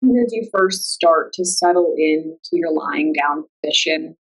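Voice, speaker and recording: A woman guiding a meditation in a calm, soothing, unhurried way, leaving long pauses between instructions. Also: sits low, rough recording. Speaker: yoga nidra meditation guide. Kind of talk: guided meditation.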